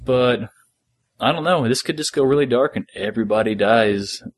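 A man's voice: mid-range voice, normal speed. A man hosting a podcast, talking casually as a fan discussing a story. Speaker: podcast host.

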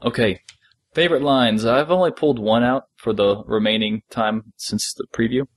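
A man's voice: medium pitch, moderate pace. A man hosting a podcast, talking casually as a fan discussing a story. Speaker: podcast host.

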